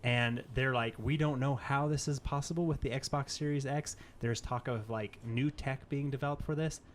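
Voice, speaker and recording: A man's voice: mid-range voice, steady tone, normal speed, slight background noise. A man co-hosting a podcast, talking casually and informally about gaming news with loose, conversational phrasing. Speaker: podcast co-host.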